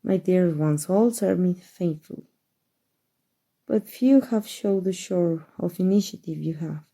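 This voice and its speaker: A woman playing a powerful, aristocratic vampire in an ASMR roleplay, with formal, measured, protective speech. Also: on the low side, mellow. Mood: affectionate, intimate.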